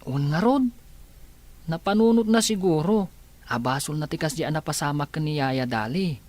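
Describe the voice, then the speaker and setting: A man's voice: fairly high pitch, normal speed, darkish tone, close to an everyday voice. A man with a Filipino accent playing a mild, hesitant younger man in an audio drama. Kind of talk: Ilocano audio drama acting.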